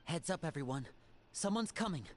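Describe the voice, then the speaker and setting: A composed, analytical young woman adventurer in a video game, voiced by an actress. She sounds wary, her pitch is fairly low, and faint ambience sits behind her. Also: animated, fairly quick, a little hiss or hum, close to an everyday voice. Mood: alert. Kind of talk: English video game dub acting.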